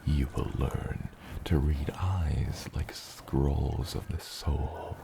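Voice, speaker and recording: A man voicing a gentle, doting father dragon in an ASMR roleplay, murmuring slowly and tenderly and often dropping to a whisper. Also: background noise, exaggerated voice. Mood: tender, encouraging. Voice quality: very deep, gravelly. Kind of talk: ASMR roleplay, addressing the listener as his child.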